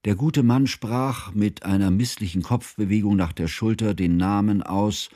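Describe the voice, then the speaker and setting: An actor playing a grave, mournful narrator, reading literary prose in a solemn, articulate way for a radio drama. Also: male voice, fairly low pitch, normal speed, mellow, no room sound, studio quality, close to an everyday voice.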